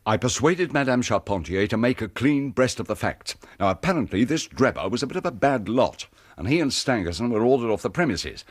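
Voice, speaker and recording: A man's voice: medium pitch, very animated, a little fast, no echo. A man playing a smug, jovial British police inspector in a radio drama, telling things with self-satisfied emphasis. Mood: confident.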